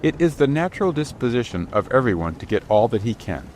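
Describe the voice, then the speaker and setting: A man's voice: medium pitch, sing-song, fast talker, darkish tone, a little hiss or hum. A man narrating an old adventure storybook in a scripted, dramatic reading style. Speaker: audiobook narrator. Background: outdoor ship ambience.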